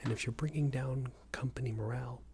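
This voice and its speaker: A man doing an ASMR roleplay as a passive-aggressive company boss, speaking in a soft, breathy whisper with hesitant, patronising phrasing. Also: medium pitch, fairly even intonation, fairly quick, noisy background. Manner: hesitant, repetitive, patronising workplace talk. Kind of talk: ASMR roleplay, improvised monologue.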